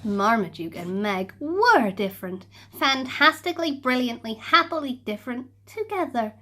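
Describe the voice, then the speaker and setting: A woman narrating a children's storybook in an expressive, playful way. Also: on the high side. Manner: animated, slow and playful. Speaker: storybook reader.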